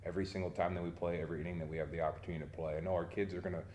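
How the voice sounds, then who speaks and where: A man's voice: low voice, little pitch movement, racing, darkish tone, roomy sound. A man answering interview questions as a head baseball coach, earnest and often restarting his sentences. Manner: earnest, repeats words when starting sentences.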